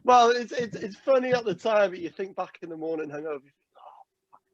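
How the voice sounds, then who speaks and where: A man's voice: high voice, animated, brisk, muffled recording. A man from Wales, chatting casually on a podcast as a guest, laughing often and swearing now and then. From North Wales. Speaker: guest singer-songwriter.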